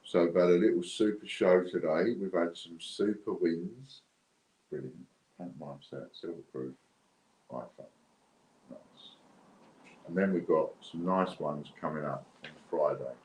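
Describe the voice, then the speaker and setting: Deep-voiced British man hosting a livestream. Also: a little slow, darkish tone. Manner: chatty, informal, rambling. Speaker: giveaway livestream host. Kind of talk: casual unscripted livestream chat. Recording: dull lo-fi, roomy echo, clear background noise.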